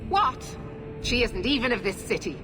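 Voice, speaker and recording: Stern, imperious knight commander. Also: female voice, on the high side, very animated, normal speed, music in the background. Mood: indignant. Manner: imperious, clipped orders. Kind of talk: video game cutscene acting.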